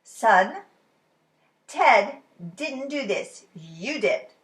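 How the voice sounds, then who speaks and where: Exasperated storybook dad, read by a woman: stern, emphatic, with big swings in pitch. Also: sing-song, very slow, exaggerated voice. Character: exasperated father of a young boy. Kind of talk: storybook character voice.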